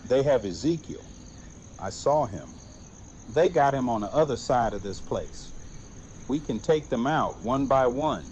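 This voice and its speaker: A man's voice: mid-range voice, lively intonation, measured pace, a little mellow, a little hiss or hum, natural voice. A man playing a grim, resolute fighter in an audio drama, wary and blunt. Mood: grim, urgent. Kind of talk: fan audio drama acting.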